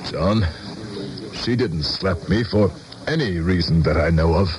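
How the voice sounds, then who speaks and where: A man's voice: sits low, a little slow, background noise. An actor playing a courtly, self-assured middle-aged politician in a radio drama, courteous and rhetorical in his speech. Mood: magnanimous, assured. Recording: old radio drama broadcast, music behind.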